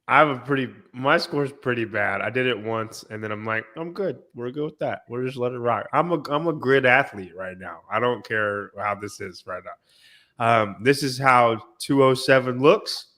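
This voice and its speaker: A man's voice: mid-range voice, moderate pace, bright, forceful tone. A man hosting a casual podcast chat, slightly nasal and congested from a cold, teasing and coaching his friends. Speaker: podcast host.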